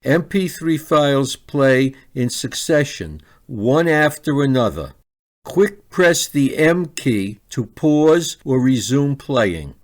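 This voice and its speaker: Unhurried man narrating a product review. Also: mid-range voice, animated.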